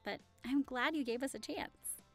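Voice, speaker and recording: A woman's voice: high voice, normal speed, a bit bright, soft background music. A woman acting in an audio roleplay as a cheerful, teasing hostess welcoming friends to a party. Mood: warm, teasing. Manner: bubbly, welcoming, playful.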